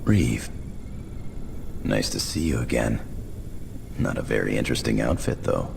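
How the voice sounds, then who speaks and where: Very deep male voice, gravelly, deadpan, aloof brooding game gunslinger, clear background noise. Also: unhurried, exaggerated voice. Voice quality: gravelly, very low. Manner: terse, deadpan. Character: brooding, aloof gunslinger man with few words. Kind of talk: video game cutscene acting.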